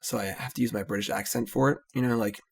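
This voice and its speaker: A man casually commentating his own card game, analytical and relaxed, often trailing off with "so". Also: mid-range voice, fast talker, gravelly, husky.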